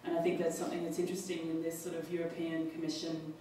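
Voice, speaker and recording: An Australian woman speaking at a panel discussion, halting often with fillers and restarts. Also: low voice, completely flat delivery, dark tone. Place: large public hall. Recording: echoey hall, distant mic, faint noise.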